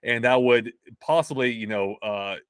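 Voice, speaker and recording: A man's voice: medium pitch, clear, resonant tone, no echo, studio quality. A man appearing as a guest on a gaming chat show, laying out release history precisely and explaining his points. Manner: quick, explanatory, precise about dates. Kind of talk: casual video game chat.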